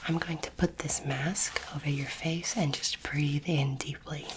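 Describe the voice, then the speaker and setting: A woman whispers gently and breathily, playing a polite alien space doctor in an ASMR exam. Her delivery is measured, reassuring and very level in tone. The recording carries clear background noise. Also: deep voice, steady tone. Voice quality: breathy, mostly unvoiced whisper. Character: courteous, curious alien space doctor examining a human. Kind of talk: whispered ASMR medical roleplay. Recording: noisy close recording, clicking sounds.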